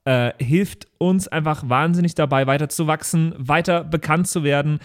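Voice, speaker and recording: A man with a slightly high voice hosts a podcast outro. He talks casually and informally, with a joking manner. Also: steady tone, moderate pace. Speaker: podcast host and game master.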